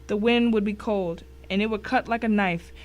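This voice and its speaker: A woman's voice: mid-range voice, steady tone, moderate pace, natural voice. A woman playing a grim, wry thriller narrator who recounts a dangerous ordeal in scripted first person.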